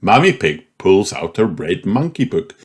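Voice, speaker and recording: A man's voice: low-pitched, very animated, unhurried, heavy background noise. A man narrating a children's storybook from a script as an audiobook reader.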